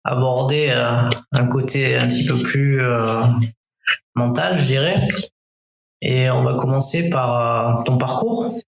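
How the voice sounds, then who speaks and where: A male podcast host asks questions casually, his voice gravelly with fry. The recording sounds roomy and echoey, with a faint hiss underneath. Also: mid-range voice, takes it slowly, pauses often. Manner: casual, relaxed questioning with fillers. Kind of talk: interview questions. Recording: roomy echo, faint noise.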